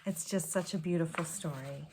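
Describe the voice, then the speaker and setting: A woman reading a children's storybook aloud like a teacher, with expressive delivery and gentle asides. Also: sits low, noisy background. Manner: slow, deliberate, expressive storytelling.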